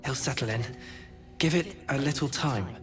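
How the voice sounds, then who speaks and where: A man's voice: mid-range voice, normal speed, lightly acted. Kindly talking hound for a game male actor, mid-range, simple, sincere, soothing delivery with music underneath. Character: gentle, loyal male talking dog. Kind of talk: video game dramatic acting.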